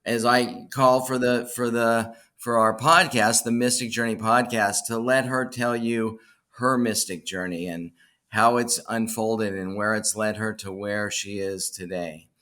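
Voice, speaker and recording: Male interview host, bright tone, unscripted, halting delivery with frequent restarts. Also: fairly low pitch, steady tone. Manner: halting, repeats words and restarts phrases. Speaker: podcast host, bookstore owner. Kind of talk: unscripted podcast introduction and interview.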